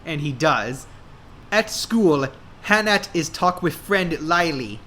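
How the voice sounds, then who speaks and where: High-voiced man reading fan fiction. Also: lively intonation, bright, forceful tone, a little hiss or hum. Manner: deliberate, reads errors as written. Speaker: narrator.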